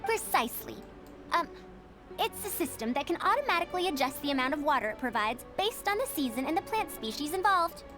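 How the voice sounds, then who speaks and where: A woman voicing a proud, excitable young-sounding scholar with theatrical, old-fashioned phrasing in a game. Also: sits high, a little fast, music in the background. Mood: composed, explanatory.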